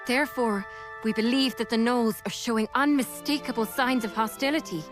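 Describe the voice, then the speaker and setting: A woman's voice: fairly high pitch, normal speed, natural voice. A woman playing a seasoned, easygoing female mercenary in a fantasy video game cutscene. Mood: serious. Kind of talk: English game cutscene voice acting. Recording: music behind the voice.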